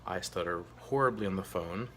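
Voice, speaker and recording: A man, a teacher, telling his own story to the camera in a halting, earnest way, with a stutter and many ums and uhs. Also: deep voice, a bit bright, slight background noise. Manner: earnest and open. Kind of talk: personal story told to camera.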